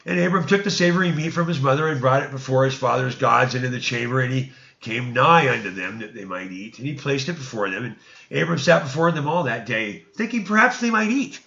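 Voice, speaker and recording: A man's voice: medium pitch, fairly quick, gravelly, sharp tone, echoey room. A man reading scripture aloud as theatrical narration, now and then stumbling over words, in a lo-fi recording. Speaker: reader. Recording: dull, lo-fi sound.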